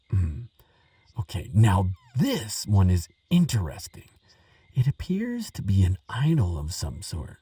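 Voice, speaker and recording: Husky, earnest archaeologist, acted by a man, lilting and unhurried. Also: on the low side, no room sound, distant mic, exaggerated voice. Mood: musing, puzzled. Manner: stammering, musing, wonder-filled, gentle. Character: earnest, excitable archaeologist, kind to a monster friend. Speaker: audio roleplay performer. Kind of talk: relaxing scripted audio roleplay, spoken to the listener.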